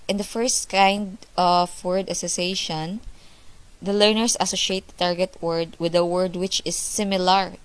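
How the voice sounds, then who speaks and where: A woman's voice: fairly low pitch, low-quality recording. Woman giving a class report. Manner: explanatory, restates points with examples. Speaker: student presenter.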